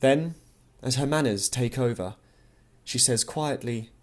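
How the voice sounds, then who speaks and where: A man's voice: medium pitch, measured pace. A man narrating a literary novel as an audiobook, reading expressively and acting out the dialogue.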